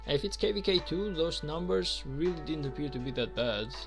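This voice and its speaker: Gravelly streamer guy. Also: on the high side, animated, brisk, music in the background. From Albania. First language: Albanian. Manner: chatty, filler-heavy, reads and answers viewer questions. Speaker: game streamer. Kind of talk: casual live-stream chat.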